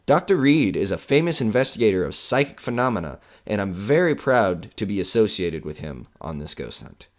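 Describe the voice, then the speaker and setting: A man's voice: lowish voice, sing-song, fairly quick, few pauses. A man playing a chatty radio host who hunts ghosts, folksy and showman-like, with jittery bravado in an old-time broadcast style. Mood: chatty, genial. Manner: folksy, showman-like, nervously jokey. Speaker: radio host in an audio drama.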